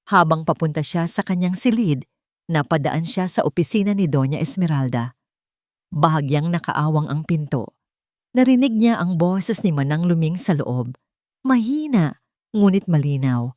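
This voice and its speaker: A woman's voice: low-pitched, animated, moderate pace, a little mellow, no room sound, clean studio sound. A woman reading a scripted, dramatic love story as a storyteller. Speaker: storyteller, narrator.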